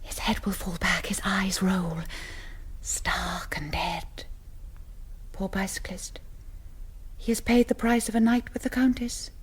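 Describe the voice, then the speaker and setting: A young vampire countess in a radio play. She speaks very slowly at a medium pitch, breathy and languid, with a melancholy, sensual dreaminess. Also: fairly even intonation. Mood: yearning, languid. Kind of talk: 1970s radio drama acting.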